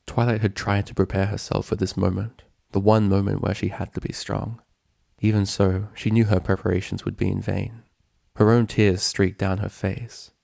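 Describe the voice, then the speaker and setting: A man reading a story aloud as narrator, in a solemn, measured, dramatic style. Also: low voice, vocal fry, darkish tone, no echo, studio quality. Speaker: narrator, fan fiction reader.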